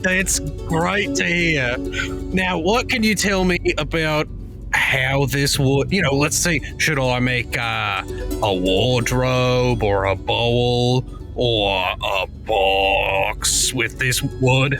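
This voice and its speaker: A man playing in a tabletop roleplaying game, chatty and playful, often halting mid-sentence. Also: medium pitch, gravelly, music in the background. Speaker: player.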